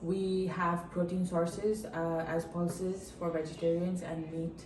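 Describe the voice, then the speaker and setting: A woman's voice: lowish voice, little pitch movement, takes it slowly, noisy background. A young woman from India whose first language is Nepali, thoughtfully answering interview questions with many fillers. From India, Darjeeling. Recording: echoey room, from a distance.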